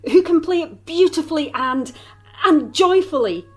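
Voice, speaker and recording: A woman's voice: sits high, lively intonation, normal speed, darkish tone. A woman playing an eager, plain-spoken young girl in an audio drama. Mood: earnest, hopeful.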